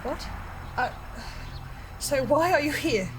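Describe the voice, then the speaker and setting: A woman playing an anxious but defiant reluctant archivist, speaking haltingly and stammering in a horror drama. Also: high-pitched, lively intonation, background noise. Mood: bewildered. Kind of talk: emotional horror audio drama acting.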